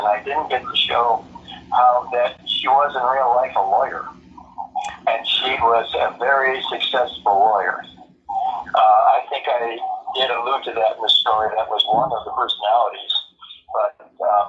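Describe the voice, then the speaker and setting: A man being interviewed as a writer, talking casually and reflectively in a halting, musing way. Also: medium pitch. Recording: muffled phone-like call, faint noise.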